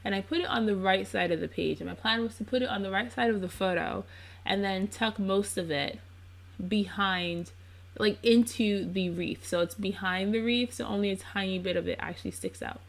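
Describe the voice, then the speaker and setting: Congested woman with a gravelly voice. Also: medium pitch, moderate pace. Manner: chatty and relaxed, with frequent ums. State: congested, under the weather. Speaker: scrapbook crafter narrating her process video. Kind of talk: casual voice-over narration.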